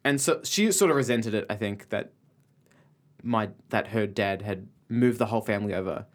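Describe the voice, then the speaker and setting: A man's voice: lowish voice, normal speed. A man, a podcast guest telling stories conversationally. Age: 20s. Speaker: podcast guest, comedy writer. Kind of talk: casual conversational storytelling.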